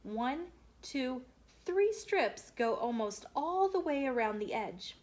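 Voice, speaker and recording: A woman narrates a children's story in a fairly high voice. Her pace is slow and deliberate, with the expressive delivery of a picture-book read-aloud.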